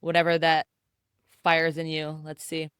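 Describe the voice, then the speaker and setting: A young woman hosting a podcast, talking in a loose, rambling way full of "like" and "um" and the odd casual swear. Her voice is smooth and clear, recorded close and dry with no room sound. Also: low-pitched, completely flat delivery, a little slow, fairly bright tone, dry recording. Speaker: podcast host.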